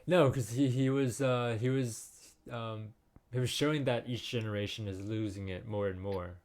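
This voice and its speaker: A man co-hosting a podcast, chatting casually and often pausing with ums as he searches for words. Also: mid-range voice, steady tone, darkish tone. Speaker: podcast co-host. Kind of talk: casual chat.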